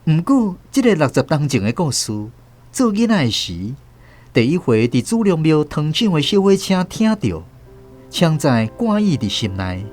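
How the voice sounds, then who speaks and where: A man's voice: on the high side, big pitch swings, normal speed, darkish tone. An actor with a Taiwanese accent playing a reflective man narrating his family's past in a scripted radio story. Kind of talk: literary scripted first-person story narration. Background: music under the voice.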